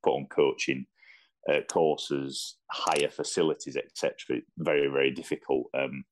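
Very deep-voiced Yorkshire man. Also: fairly even intonation, normal speed. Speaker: podcast guest, sports fan. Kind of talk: casual sports discussion.

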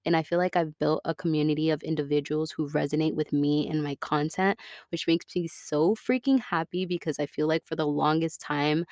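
A woman's voice: low voice, a little mellow, no room sound, clean studio sound. A woman of twenty-one with an American accent, hosting a casual, personal podcast monologue in a chatty, candid, informal way. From Florida, United States. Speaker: podcast host.

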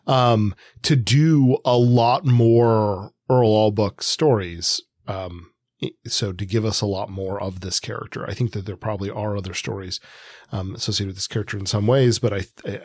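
Lowish guy voice chatting about books, kinda halting, thinks out loud, really dry recording. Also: slightly rough, dry recording. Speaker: podcast co-host. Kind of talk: casual book discussion.

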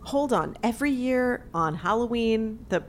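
A woman's voice: a little high, animated, a little slow. Actress as a sarcastic skeptical woman, voice moves around a lot, audio drama, slight noise. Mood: alarmed, sarcastic. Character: skeptical, sarcastic young woman back in hometown. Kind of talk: naturalistic audio drama acting.

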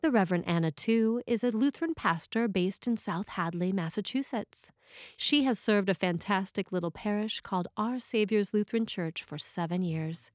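A woman's voice: medium pitch, moderate pace, dry recording. Woman reading a sermon, dry close sound. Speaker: preacher reading a written sermon.

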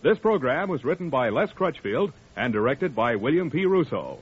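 A man's voice: mid-range voice, very animated, moderate pace, breathy edge. A man announcing a radio program in a scripted 1940s broadcast style. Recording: dull vintage radio recording, music behind.

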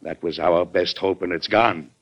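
A plain-spoken American president with a fairly low voice. He sounds folksy, deliberate and resolute, in the manner of classic radio drama. The sound is dull and lo-fi. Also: fairly even intonation, faint music behind. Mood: grave. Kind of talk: old-time radio drama acting. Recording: dull lo-fi vintage radio recording.

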